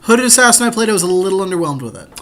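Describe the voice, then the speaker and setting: A man's voice: sits high, very animated, rapid-fire, fairly bright tone. A man co-hosting a podcast, giving easygoing, agreeable casual commentary on building a card-game deck. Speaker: podcast co-host.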